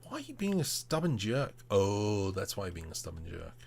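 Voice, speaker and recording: A man with a fairly low voice hosts a relaxed, informal livestream. He thinks aloud in a tutorial style, muttering playfully to himself and addressing viewers as he goes. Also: moderate pace, creaky. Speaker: livestream host demonstrating 3D modelling.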